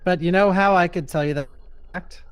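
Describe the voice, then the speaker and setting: Playful man streamer with a higher pitch. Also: clean tone, no echo. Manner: teasing, playful, quick to joke. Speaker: streamer host. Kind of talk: casual voice-chat banter while gaming.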